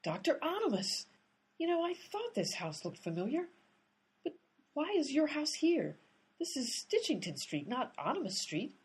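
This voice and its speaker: A woman's voice: sits high, big pitch swings, moderate pace. A woman playing an exasperated, blunt, tough-talking detective in a comedic radio play. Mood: puzzled.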